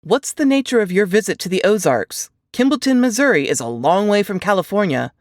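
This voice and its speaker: A woman's voice: medium pitch, very animated, a little fast, no echo, studio quality, pitched above the performer's own voice, close to an everyday voice. A woman voicing a guarded, no-nonsense young female cop with a commanding, clipped manner in an audiobook. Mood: questioning. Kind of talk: audiobook character dialogue.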